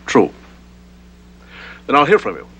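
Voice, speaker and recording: An actor playing a ruthless, irritable gangster boss in a 1960s TV crime drama, shrewd and distrustful, with a curt, commanding way of talking. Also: male voice, mid-range voice, huge pitch swings, measured pace, low-quality recording. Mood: wary, cold.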